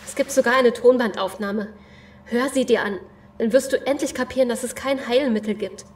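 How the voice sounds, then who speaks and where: A woman acts a determined captive in an audio drama. Her voice is fairly high. She is pragmatic and insistent. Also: normal speed, lightly acted. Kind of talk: German audio drama acting.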